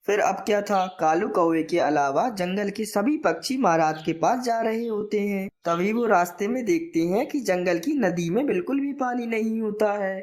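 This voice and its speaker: A woman's voice: medium pitch, moderate pace, hardly any pauses, darkish tone. A woman narrator reading a scripted children's moral story.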